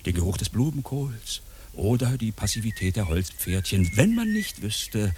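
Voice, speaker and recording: Grandiose world-weary uncle, lowish animated voice, declamatory radio-drama delivery, faint noise behind. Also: male voice, normal speed. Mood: pompous, musing. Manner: declamatory, dramatically drawn out when musing. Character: theatrical, world-weary philosophising uncle, exasperated but grandiose. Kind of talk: German radio play acting.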